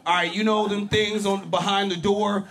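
High-voiced man doing loud stand-up. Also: normal speed. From Berkeley, California. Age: 40s. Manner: loud, animated, profane punchlines with shouted peaks. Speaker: stand-up comedian. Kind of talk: stand-up comedy set. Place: comedy club stage. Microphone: handheld mic. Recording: live club with crowd laughter. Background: audience laughter.

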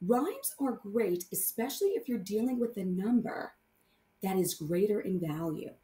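A woman teacher with a mid-pitched voice gives a read-aloud math lesson for small children. Her delivery is lively and instructional, swinging up and down on key words. The room adds noticeable echo.

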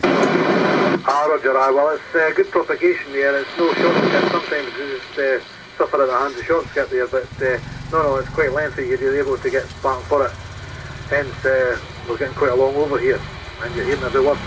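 A man's voice: mid-range voice, steady tone, brisk. A Scottish man chatting casually over CB radio, repeating himself and often ending sentences with "eh". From Scotland. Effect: heard over CB radio. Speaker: amateur CB radio operator. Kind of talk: casual two-way radio chat. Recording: very noisy CB radio transmission. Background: loud radio static and hiss.

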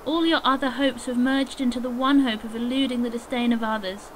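A woman's voice: a little high, fairly even intonation, fairly quick, a little hiss or hum, lightly acted, low-quality recording. A woman playing an earnest, sorrowful young fiancée in an audio drama, speaking formally. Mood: sad reproach.

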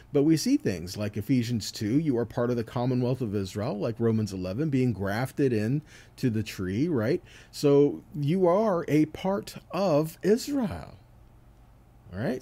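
Male Bible teacher for scripture sermon: mellow tone, very animated intonation, explanatory delivery with frequent check-ins. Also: fairly high pitch, breathy edge. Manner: explanatory, reads verses aloud and checks in with "okay" and "right".

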